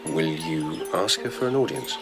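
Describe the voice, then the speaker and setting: A man's voice: rumbling low, animated, normal speed, vocal fry, music in the background, heavily acted. A man in a radio drama playing an earnest, methodical lieutenant who investigates by thinking aloud intently. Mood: frustrated, pressing.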